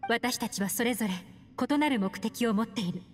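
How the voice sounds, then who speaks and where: Composed, enigmatic game heroine, acted by a woman: slightly high voice, measured delivery, reverberant sound. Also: natural voice. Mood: pensive. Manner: poised, measured, feminine phrasing. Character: cool, composed, mysterious adult woman adventurer. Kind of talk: Japanese anime-style game voice acting. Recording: long reverb, music underneath.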